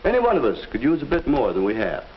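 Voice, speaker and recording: An actor playing a kindly, patient teacher with a measured, explanatory way of talking in a 1950s instructional film. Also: male voice, mid-range voice, huge pitch swings. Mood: earnest, patient. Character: kindly, patient male teacher advising a student. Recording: muffled old film soundtrack, faint noise.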